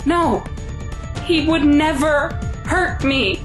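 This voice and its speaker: Actress as a worried protective mom, high voice, takes her time, radio play. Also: takes it slowly, over loud music. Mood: anxious. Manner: worried, pleading. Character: anxious, protective mother of a possessed boy. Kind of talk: audio drama acting.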